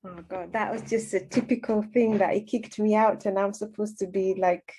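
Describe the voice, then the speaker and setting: A woman hosts a radio discussion in casual, chatty language from an online call. Her voice is medium-pitched and stays quite flat in its movement. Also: normal speed, slightly rough, low-quality recording. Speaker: radio show host. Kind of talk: casual hosting chat.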